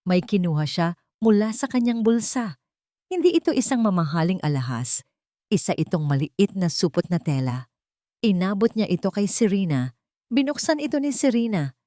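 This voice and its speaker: A woman narrating a scripted romance story in a measured, dramatic storytelling way. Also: mid-range voice, expressive, mellow, no room sound, clean studio sound.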